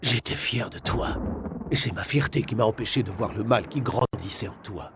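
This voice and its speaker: A man playing a sorrowful old kung fu master creature in a video game, grave, halting and subdued. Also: lightly acted. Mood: remorseful. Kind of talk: dramatic video game voice acting. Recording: rumbling noise behind.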